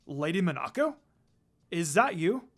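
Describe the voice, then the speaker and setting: An easygoing male ninja teacher from an anime fan story, voiced by a woman reader. He is casual and curious, with lively movement in the pitch. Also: unhurried, exaggerated voice. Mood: friendly. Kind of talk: fan fiction character dialogue read aloud.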